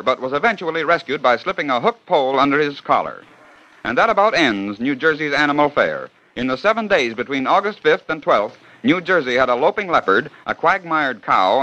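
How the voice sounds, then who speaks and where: A male announcer narrates a scripted 1940 radio program with brisk, wry storytelling. He speaks quickly in a bright, forceful tone. The old recording sounds dull and muffled. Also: medium pitch.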